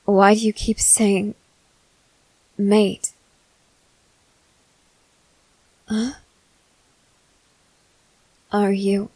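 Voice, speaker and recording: A woman playing a guarded young female soldier, blunt but softening, in halting roleplay ASMR. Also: medium pitch, no room sound, rough recording. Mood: flustered, disbelieving. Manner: halting, hesitant, earnest delivery.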